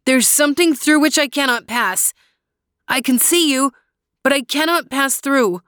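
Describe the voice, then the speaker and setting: An earnest young brother speaks with steady reassurance, as a woman voices him. His voice sits a little high. It sounds like a read-aloud storybook. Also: measured pace, no echo, clean studio sound.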